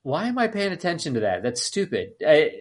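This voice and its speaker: High-pitched, animated man on a podcast. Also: slightly rough. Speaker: podcast co-host. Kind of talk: casual film discussion.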